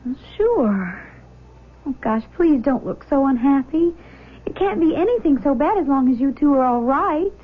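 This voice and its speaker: An actress playing a shaken but loving nineteen-year-old daughter in a 1970s radio drama, halting and exclaiming. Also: female voice, high-pitched, lively intonation. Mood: anxious. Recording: dull lo-fi old radio broadcast.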